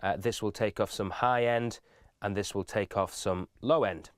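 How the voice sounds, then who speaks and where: A man's voice: fairly low pitch, fairly even intonation, moderate pace, clean tone, no echo. Man giving methodical tutorial, dry close sound. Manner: methodical, instructive. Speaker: instructor. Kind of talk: informal step-by-step video tutorial.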